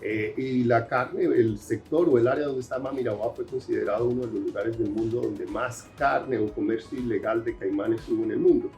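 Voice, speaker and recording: A Colombian man whose Spanish carries Brazilian Portuguese traces. He answers at moderate pitch, often pausing on "eh" and restarting phrases. Soft music plays faintly behind him. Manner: hesitant, many fillers and restarts. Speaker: researcher guest. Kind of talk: interview answers.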